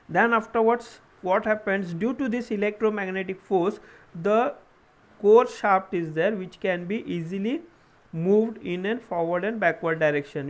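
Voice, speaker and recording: Man, Indian accent, high-pitched voice, engineering lecturer, live online class, repetitive question-led explaining. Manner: explains through self-posed questions, repeats phrases.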